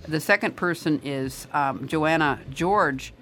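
A woman's voice: deep voice, slow, fairly bright tone, faint music behind. An older woman, a retired master gardener, chatting on the radio and giving advice with many restarts and emphatic asides. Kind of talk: casual radio chat.

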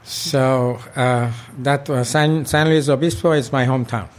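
A man's voice: mid-range voice, steady tone, a little slow. An older man from Iran, a local club president, recalling his past in an oral history interview with many fillers and restarts.

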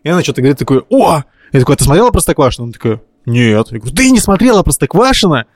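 Male podcast co-host, colloquial storyteller, fairly high-pitched, dry recording. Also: big pitch swings, rapid-fire, no echo. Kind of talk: casual chat, anecdotes.

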